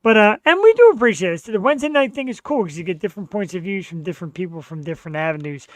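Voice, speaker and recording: A man's voice: high voice, expressive, very fast, no room sound. A man hosting his own podcast, talking casually in an earnest, rambling, self-deprecating way. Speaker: podcast host. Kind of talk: casual chat.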